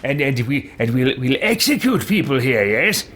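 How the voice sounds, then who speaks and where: A man voicing a pompous, self-important male lord as role-play over a video game, drawn-out and imperious. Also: medium pitch, very animated, fairly bright tone, a little hiss or hum. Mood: imperious, eager.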